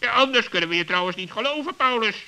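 A kindly, teasing raven in a children's radio play. The voice is extremely high and piercingly bright, with a brisk way of talking. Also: male voice, animated, smooth, full character voice, poor audio. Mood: coaxing, doubting. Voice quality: high, sharp.

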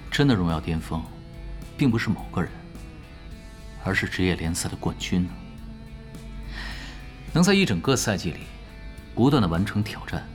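A man playing a nonchalant, confident young pro gamer in a Chinese radio drama, teasing and quietly assured. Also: low voice. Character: laid-back, confident young male pro gamer who ran away. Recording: music behind the voice.

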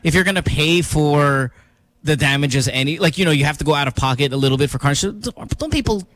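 A man hosting a casual radio panel chat, talking in a joking, rambling, animated way. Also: on the high side, fast, dry recording. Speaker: radio show host.

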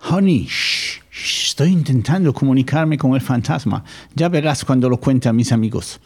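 A man playing an excitable British tourist obsessed with ghosts in an audio drama, eager and theatrical, with a husky voice. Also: medium pitch, moderate pace. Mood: eager, coaxing. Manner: eager, theatrical, sprinkles English endearments.

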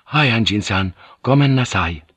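A man's voice: lowish voice, lively intonation, normal speed, fairly bright tone, husky, no echo. A man voicing a polite, apologetic samurai retainer. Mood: deferential. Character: deferential Japanese samurai retainer, adult man.

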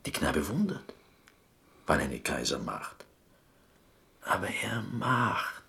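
An actor playing an eager, insistent Greek man in a radio drama, speaking halting, broken German with a Greek accent. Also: low-pitched, wildly swooping pitch, vocal fry, sharp tone, heavily acted. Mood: rapt storytelling.

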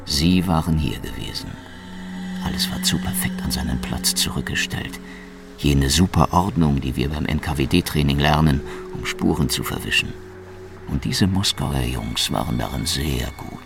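An actor playing a cynical, world-weary Soviet secret police officer in a German radio drama, sardonic and gravelly. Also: male voice, extremely low pitch, measured pace, full character voice. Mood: wry, brooding. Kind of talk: German radio drama, first-person noir narration and dialogue. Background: music.

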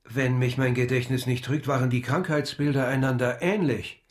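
A man's voice: medium pitch, fairly even intonation, moderate pace, close to an everyday voice. A man playing a careful hospital physician in a radio crime drama, speaking in a formal, clinical manner. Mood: sober, clinical. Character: matter-of-fact, careful male hospital physician.

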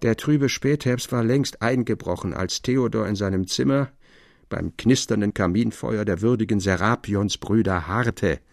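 A man narrating a literary radio drama in a measured, formal storytelling manner. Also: on the low side, vocal fry, darkish tone.

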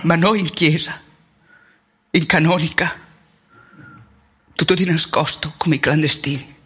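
Woman playing a husky former priest. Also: low voice, steady tone, a bit bright, full character voice. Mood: tender, regretful. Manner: halting, emphatic repetition, colloquial. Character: ageing former priest, wounded, candid, plain-spoken man. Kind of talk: theatrical monologue, intimate confessional storytelling.

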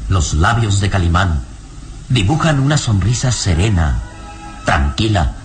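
A man narrating a Spanish-language radio drama in a deliberate, theatrical scripted style. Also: deep voice, big pitch swings, soft background music.

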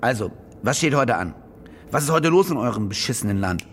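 A man's voice: mid-range voice, expressive, fairly quick, slight background noise, lightly acted. A man playing a cynical, demanding star war reporter in a naturalistic radio drama, brusque and self-important. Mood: brisk, scheming.

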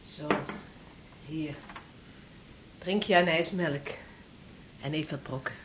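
An actress playing a sailor's doting, worried, gently scolding sweetheart in an intimate radio play. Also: female voice, deep voice, slow, very noisy. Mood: fussing, tender.

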